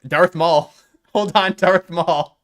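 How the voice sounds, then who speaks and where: A man's voice: high-pitched, steady tone, slow, a bit bright. A man hosting a live stream, commenting casually on clips and often stopping to restart and repeat words.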